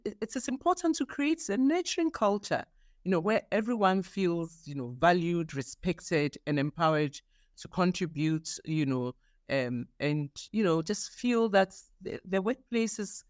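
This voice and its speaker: A woman's voice: medium pitch, expressive, normal speed, no room sound, studio quality. A woman in her sixties from Ghana, a guest author talking earnestly in a podcast interview and often restarting her words. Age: 60s.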